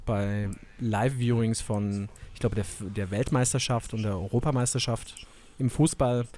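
A man with a faint Saxon accent, a guest chatting casually on a podcast and often pausing with hesitation fillers. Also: medium pitch, slight background noise. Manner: relaxed, lots of hesitation fillers. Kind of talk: casual conversation.

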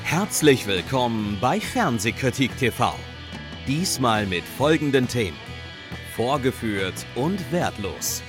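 A man opening a TV show as its host, announcing slowly. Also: mid-range voice, very animated. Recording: voice over loud guitar music.

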